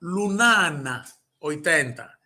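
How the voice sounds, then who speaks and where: A man's voice: a little high, animated, slow, bright, forceful tone. A man tutoring a student in an informal lesson, patiently repeating and drilling words. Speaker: language tutor.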